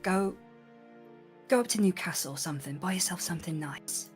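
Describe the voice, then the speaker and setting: Tabletop game role: low-voiced woman investigator acted by a player, streetwise, weary, kind underneath. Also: a little fast, soft background music, natural voice. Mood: reassuring. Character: streetwise, world-weary, kindly female investigator.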